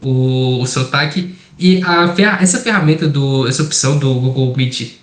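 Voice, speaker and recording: A man's voice: mid-range voice, moderate pace. A Brazilian man co-hosting a casual chat over a video call, talking loosely with slang asides. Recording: echoey room on a call.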